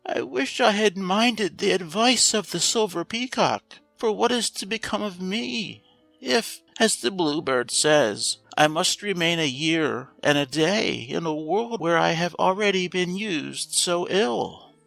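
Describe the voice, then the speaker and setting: A man's voice: sits high, lively intonation, measured pace, creaky, fairly bright tone, no room sound, faint music behind, voice pushed up, exaggerated voice. A man voicing an anxious, self-pitying female hen from a fairy tale, lamenting in a plaintive, dramatic storybook manner. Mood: woeful, regretful. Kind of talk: storybook character voice.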